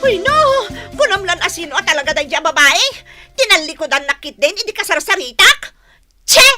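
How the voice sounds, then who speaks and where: A woman playing a quarrelsome, easily offended wife and mother, loud and scolding, in a Philippine radio comedy-drama. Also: very high voice, big pitch swings, a little fast, faint music behind, exaggerated voice. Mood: indignant, scolding.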